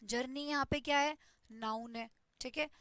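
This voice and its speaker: A woman's voice: high voice, moderate pace, fairly bright tone, no room sound. A woman teaches an online English grammar class, explaining step by step and checking in with "ठीक है". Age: 20s.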